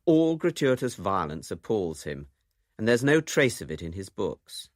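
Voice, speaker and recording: A man narrates a radio documentary from a script. His voice is low and sits close and dry, and his intonation moves around freely. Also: very animated, unhurried, dry recording.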